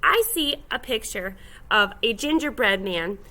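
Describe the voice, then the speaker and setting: A woman's voice: fairly high pitch, lively intonation, a little slow, pushed and bright. A woman teacher giving step-by-step instruction to young children, phrasing things simply, stressing key words and asking guiding questions. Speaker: elementary school teacher.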